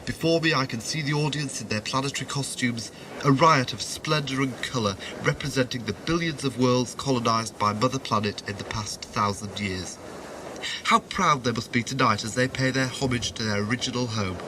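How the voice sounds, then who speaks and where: A man's voice: mid-range voice, normal speed, natural voice. A man playing a formal, ceremonious announcer covering a gala concert live in a science fiction audio drama. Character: formal broadcast announcer of a far-future concert. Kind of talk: formal live-broadcast commentary. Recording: noisy amateur audio drama recording.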